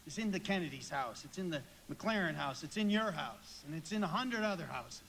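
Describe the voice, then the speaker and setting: A man's voice: fairly high pitch, lively intonation, brisk, gravelly, a bit bright, a little hiss or hum. A man playing an earnest young small-town loan manager in a radio drama, folksy, impassioned and prone to stammering. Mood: pleading. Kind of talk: live radio drama acting.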